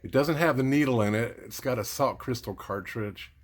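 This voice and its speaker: A man talking casually and unscripted as a vlogger showing gear, rambling and halting as he thinks aloud. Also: medium pitch, slight background noise. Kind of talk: casual unscripted show-and-tell.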